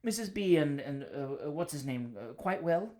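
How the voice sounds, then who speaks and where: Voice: mid-range voice, animated, moderate pace, a little mellow, lightly acted. A reader voicing Mister Minns, a prim, fussy bachelor who stammers with strained politeness in a storybook reading. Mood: flustered. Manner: stammering, put-upon politeness.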